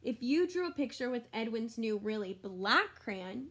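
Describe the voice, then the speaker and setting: A woman's voice: fairly high pitch, sing-song, unhurried, a bit bright. A woman schoolteacher reading a picture book aloud to children, expressively, with chatty explanatory asides to her young listeners.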